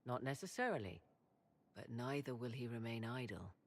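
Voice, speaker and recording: A woman playing a regal, mysterious witch advisor in a video game, speaking in a measured, formal, dramatic way. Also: rumbling low, expressive. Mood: grave, assured.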